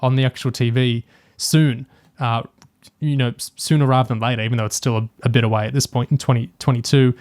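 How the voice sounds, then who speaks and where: A man's voice: medium pitch, fairly even intonation, quick pace, a little mellow. A man co-hosting a podcast in casual discussion, tentative and musing as he thinks aloud. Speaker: podcast co-host.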